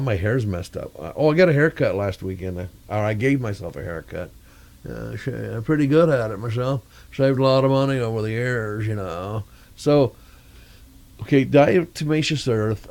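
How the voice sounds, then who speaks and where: A man's voice: fairly low pitch, a little mellow. An older man talking casually in a meandering video diary, often searching for words. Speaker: vlogger. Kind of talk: casual rambling vlog talk.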